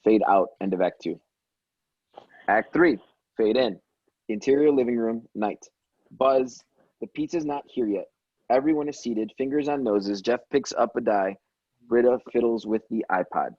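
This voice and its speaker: A man's voice: mid-range voice, moderate pace, long pauses, a little mellow, dry recording. A man reading screenplay stage directions aloud as scripted narration, on dull lo-fi audio.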